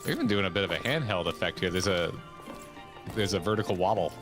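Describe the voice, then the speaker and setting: A man's voice: sits low, lively intonation, fast talker. A man giving casual, wry and analytical reaction commentary as a streamer watching video game cutscenes. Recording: game music playing behind voice. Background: video game cutscene music.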